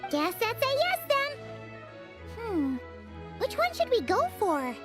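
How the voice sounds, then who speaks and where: Game fairy sidekick: very high, childlike, highly animated, cheeky. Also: female voice, slow pace, music in the background, heavily acted. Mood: puzzled, cheeky. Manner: perky, exclamatory, refers to self by name. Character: small, childlike, cheeky floating fairy companion. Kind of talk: animated fantasy game voice acting.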